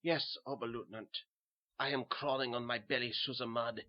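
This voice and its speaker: A man's voice: medium pitch, steady tone, normal speed, highs cut off, natural voice. A man playing a weary, haunted German soldier who narrates a horror tale in simple short sentences, with a German accent. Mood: weary, fearful. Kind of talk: first-person horror audio narration.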